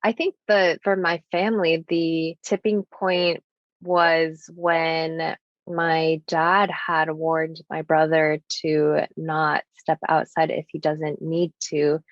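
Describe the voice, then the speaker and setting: A woman's voice: low voice, flat delivery, slow, no room sound. A young woman from the United States whose first language is Japanese, speaking as a podcast guest in an earnest, thoughtful way, with many casual fillers. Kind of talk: reflective personal conversation. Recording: dull lo-fi sound.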